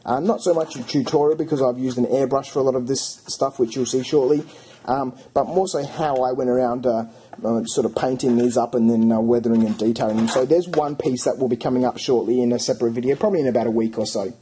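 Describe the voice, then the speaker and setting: A man with a cold and a croaky voice, casually talking through his hobby work with many fillers and restarts. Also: mid-range voice, fairly even intonation, fast talker, warm tone, slight background noise. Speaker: hobby channel host. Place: home hobby studio.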